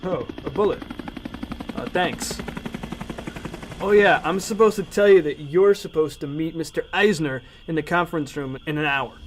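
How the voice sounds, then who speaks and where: A man's voice: a little high, moderate pace, lightly acted. A man playing an eager, hesitant young room service boy in a radio drama parody, with a noisy background. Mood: eager, polite. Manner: hesitant, polite.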